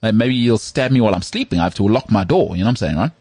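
A man's voice: on the low side, lively intonation, rapid-fire, darkish tone, slightly rough, no echo. A man co-hosting a podcast, chatting casually and teasing his co-host. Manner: teasing, joking, jumps between topics. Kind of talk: casual chat.

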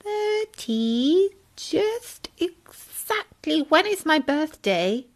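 A woman doing a squeaky excited baby tadpole. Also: drawn-out words, full character voice. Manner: squealing, pleading, sobbing. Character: tiny excited male tadpole child.

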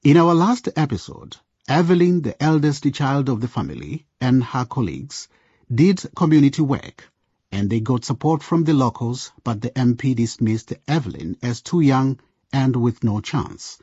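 Lively, husky male narrator. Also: medium pitch, moderate pace, no echo. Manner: lively, expressive delivery. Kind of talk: scripted radio narration. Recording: dry, dull studio narration.

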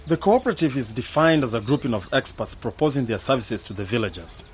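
Guy acting skeptical business planner pal in radio drama, challenging, pitch moves around a lot, bit of noise. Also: animated, fast talker, lightly acted. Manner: challenging, matter-of-fact. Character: skeptical, probing young man, pragmatic business planner friend.

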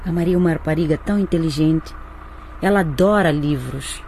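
Doubtful mother, polite, measured delivery, faint background noise, radio drama. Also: deep voice, darkish tone. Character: polite, doubtful mother defending her clever daughter.

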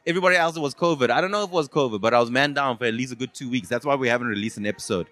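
A man's voice: medium pitch, racing, fairly bright tone, no room sound. A South African man hosting a podcast, chatting casually and teasingly with a guest, often repeating words and answers. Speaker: podcast host. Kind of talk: casual vox-pop interview. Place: pre-party at a sports-match event. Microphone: handheld or table podcast microphone with headphones.

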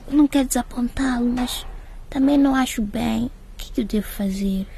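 A woman playing an anxious, hesitant schoolgirl in a radio drama, speaking in a hushed way. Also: a little high, fairly quick, creaky, slight background noise. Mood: troubled confiding. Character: anxious, hesitant schoolgirl unsure about a secret date. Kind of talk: scripted radio drama acting.

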